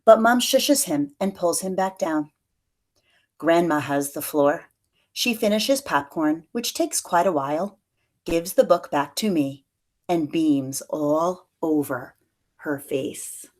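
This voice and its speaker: A woman reading a children's picture book aloud in an expressive storytelling manner, like a teacher to pupils. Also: deep voice, slow. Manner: expressive storytelling, acts out dialogue lightly.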